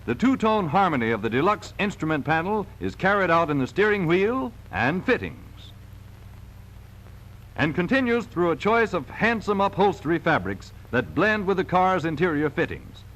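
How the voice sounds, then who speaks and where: A man's voice: high voice, very animated, moderate pace, a bit bright, rough recording. A man reading scripted narration for a 1940s sales film in an emphatic, polished salesman's delivery, on a vintage film soundtrack.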